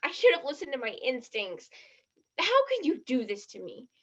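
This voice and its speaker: A woman's voice: sits high, wildly swooping pitch, moderate pace, a bit bright, breathy edge, full character voice. An actress in a stage play as a blunt, skeptical, dramatic teenage girl, in a slightly muffled recording. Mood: indignant, accusing.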